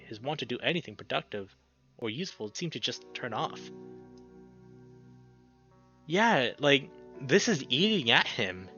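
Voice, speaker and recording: A man reading a visual novel aloud as a playthrough host, expressive, with casual reactions of his own. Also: medium pitch, lo-fi sound. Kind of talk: reading game text aloud with spontaneous reactions. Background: music.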